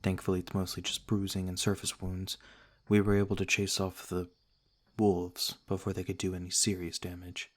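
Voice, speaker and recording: Man acting as a low, courteous vampire lord. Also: completely flat delivery. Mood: calm, guarded courtesy. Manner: formal, gentle, measured. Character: courteous, cautious noble male vampire lord. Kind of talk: ASMR roleplay acting.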